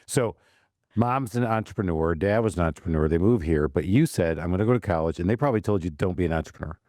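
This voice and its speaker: Fast-talking low-voiced male podcast host. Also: dry recording, studio quality. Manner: lively, joking, quick interjections. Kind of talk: casual chat.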